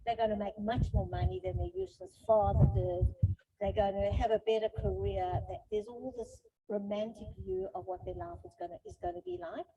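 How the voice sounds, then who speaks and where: Woman, middle-aged, guest speaker, informal explanatory talk, muffled lo-fi sound, clear background noise. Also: medium pitch, expressive, brisk, mellow. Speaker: guest speaker on relationships and life stages. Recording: noisy, dull lo-fi sound with clicks.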